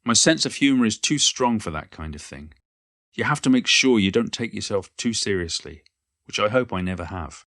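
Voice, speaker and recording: A man's voice: fairly low pitch, expressive, normal speed, a bit bright, no echo, studio quality. A man playing an elderly poet and former schoolteacher, reminiscing in a modest, wry and reflective monologue. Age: older. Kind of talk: reflective first-person monologue, read from a book.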